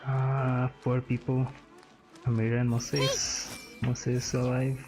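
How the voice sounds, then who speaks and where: A gamer with a medium-pitched, fairly even voice gives casual commentary. The reactions are short and muttered, with clear game music behind. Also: slow pace.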